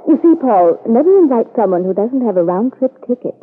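A woman's voice: on the high side, animated, brisk, slightly rough. An actress playing a breezy, self-assured, sociable married woman on vacation in an old radio soap. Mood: casual, persuasive. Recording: muffled narrow-band vintage radio recording.

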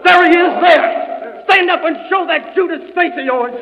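A man's voice: extremely high pitch, normal speed, gravelly, sharp tone, exaggerated voice. An actor playing an anguished, hot-headed young man in a declamatory old radio drama style. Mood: shouted fury. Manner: overwrought, bitter, declamatory. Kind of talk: 1940s radio drama acting. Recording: muffled old radio recording.

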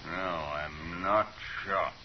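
A man's voice: low-pitched, fairly even intonation, extremely slow. A man acting a proud, brooding, drunken rancher, blunt and slurred, in a 1950s radio drama. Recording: muffled hissy 1950s radio recording.

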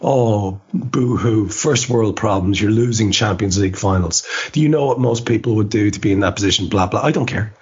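A man hosting a football podcast in a reflective, halting, self-questioning way, often circling back over his words. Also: on the low side, slightly rough. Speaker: podcast host. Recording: dull lo-fi podcast audio.

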